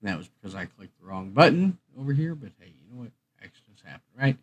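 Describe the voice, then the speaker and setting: Man chatting casually, lively swinging pitch. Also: fast, highs cut off. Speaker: streamer and host of a music channel. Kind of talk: casual livestream chat.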